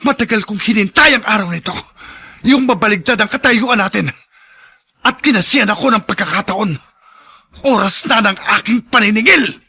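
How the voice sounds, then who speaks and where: An actor playing a bitter, vengeful young man in a radio drama, with a rough-edged voice and dramatic phrasing. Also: sits high, moderate pace, pushed and bright, heavily acted. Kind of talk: theatrical radio drama acting.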